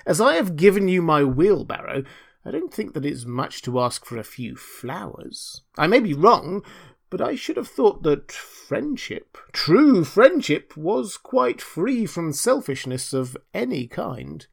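A pompous, self-righteous storybook miller, acted out by a man. The voice sits high and creaks at the edges, swinging broadly in melody. He draws out sanctimonious phrases, posing as generous while being greedy. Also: big pitch swings, a little slow, full character voice. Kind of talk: storybook character reading.

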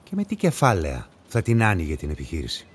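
An actor in a radio play playing a patient, methodical police investigator who questions and explains in a measured way. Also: male voice, deep voice, wildly swooping pitch, slightly rough, exaggerated voice. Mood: probing. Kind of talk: radio drama acting.

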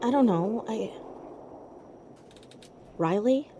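An actress playing a determined, curious young woman sleuth in an audio drama, with a hushed, urgent, natural delivery. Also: on the high side, lively intonation, very slow, slight background noise, exaggerated voice. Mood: hushed, uneasy.

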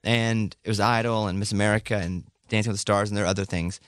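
A man hosting a radio show in casual chat, rambling and cutting himself off, his voice cracking and going hoarse. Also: lowish voice, steady tone, no echo, studio quality. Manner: fast, rambling, self-interrupting. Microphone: studio microphone.

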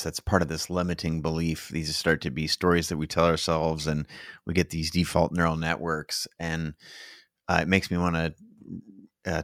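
A man with a very deep voice hosts a live interview. His intonation stays flat, and he phrases questions haltingly and deliberately. The recording is dry, with almost no room sound. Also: dry recording. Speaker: interview host. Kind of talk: hosting a live online interview with audience questions.

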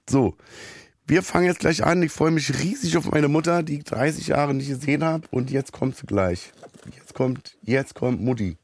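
Hoarse guy rambling on his podcast, self-mocking, lots of ähs, dead dry mic. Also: a little high, moderate pace, no room sound. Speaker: podcast host. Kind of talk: rambling comic monologue.

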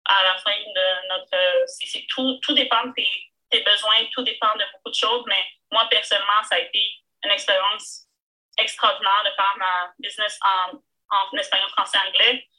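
A woman's voice: mid-range voice, little pitch movement, a little fast, sharp tone, rough recording. A woman with a Spanish-speaking accent, a Spanish native speaker, chatting earnestly on a live video call and restarting words often. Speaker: guest co-host. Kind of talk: casual live chat.

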